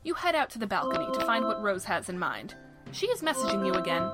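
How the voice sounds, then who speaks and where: A woman's voice: medium pitch, big pitch swings, quick pace, over loud music, close to an everyday voice. A woman acting as a wry, playful, mock-formal narrator of a web audio drama, reading scripted narration. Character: wry, playful storybook-style female narrator. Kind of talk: scripted second-person narration.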